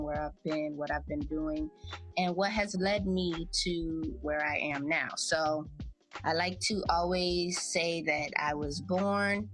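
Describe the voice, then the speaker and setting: A woman in her thirties, a podcast host, telling her life story in a relaxed, chatty, reflective way. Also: on the low side. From United States. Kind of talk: casual personal storytelling.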